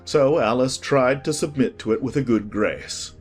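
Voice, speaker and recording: A man narrating a scripted storybook in an expressive, deliberate way. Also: medium pitch. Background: music.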